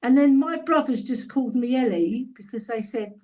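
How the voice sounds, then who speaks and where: A woman's voice: a little high, expressive, normal speed, mellow, rough recording. A middle-aged woman with a London accent, chatting casually and telling anecdotes. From London, England. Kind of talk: casual chat.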